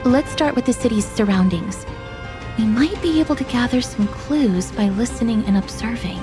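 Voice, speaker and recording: An actress playing a composed, observant travelling companion in a video game, polite, measured and formal. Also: female voice, fairly high pitch, animated, music in the background, natural voice. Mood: calm, observant.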